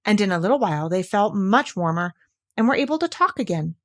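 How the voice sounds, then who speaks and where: A woman reading a children's storybook aloud as an expressive narrator. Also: mid-range voice, fairly quick. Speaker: storybook reader.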